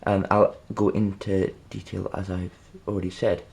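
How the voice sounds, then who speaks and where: A man presenting an informal how-to demonstration, halting and rambling, often checking in with the listener. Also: deep voice, little pitch movement, creaky, a little mellow, slightly rough. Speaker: video tutorial presenter.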